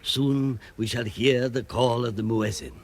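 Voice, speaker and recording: An actor playing a proud, resolute Egyptian police inspector in a radio drama, speaking with formal, solemn phrasing. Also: male voice, medium pitch, fairly even intonation, unhurried, natural voice.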